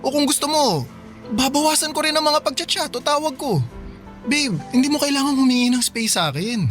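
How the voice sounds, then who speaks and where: A man's voice: very high-pitched, a little fast, fairly bright tone, faint music behind. A man acting a devoted, clingy young boyfriend in a Filipino radio drama, earnest and anxious. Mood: hurt, pleading. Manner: pleading, earnest.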